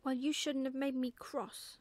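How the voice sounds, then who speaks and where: A woman's voice: on the high side, animated, a little slow. A woman voicing a naive, earnest young male barn owl in a storybook, childlike and easily cross. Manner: childlike questioning, indignant protests.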